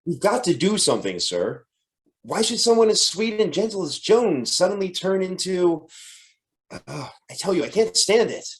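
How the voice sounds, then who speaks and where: A man's voice: high-pitched, big pitch swings. Casting: actor for earnest young suitor, male, very swooping delivery, upset and insistent, radio melodrama. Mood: distraught. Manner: agitated, insistent, halting. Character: distraught earnest young man in love, defending his sweetheart. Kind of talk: old-time radio drama acting.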